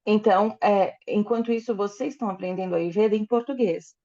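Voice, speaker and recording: A woman's voice: medium pitch, fairly quick, mellow, lo-fi sound. A woman interpreting a lecture into Portuguese, often hesitating and correcting herself as she goes. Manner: hesitant, with fillers and self-corrections.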